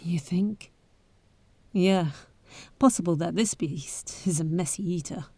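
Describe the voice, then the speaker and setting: A bold adventurer heiress played by a woman speaks slowly and close in a dry space. She is caring yet wary, with breathy asides and hesitant pauses, as in an audio roleplay aimed at the listener. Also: fairly low pitch, warm tone, no room sound, full character voice. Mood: hushed, wary.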